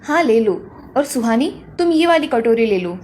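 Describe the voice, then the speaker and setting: A woman's voice: a little high, expressive, normal speed, a little mellow, slight background noise, close to an everyday voice. A woman voicing a caring mother and her college-age daughter in a dramatised cartoon story. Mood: caring, gentle.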